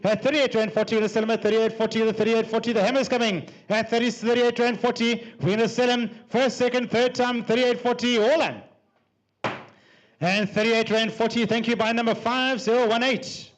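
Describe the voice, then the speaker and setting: A man auctioneering livestock, rattling off bids in a fast, rhythmic chant. His voice sits high and stays flat, looping the same figures over and over at a racing clip. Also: poor audio. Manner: repetitive rhythmic bid calling.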